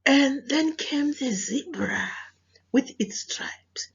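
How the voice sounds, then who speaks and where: A South African woman, a native Sepedi speaker with a husky, breathy voice, reading to children as a teacher, explaining and questioning as she goes. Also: sits high, animated, takes it slowly, highs cut off. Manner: didactic, repeats phrases, questions listeners. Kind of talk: children's read-aloud with moral lesson.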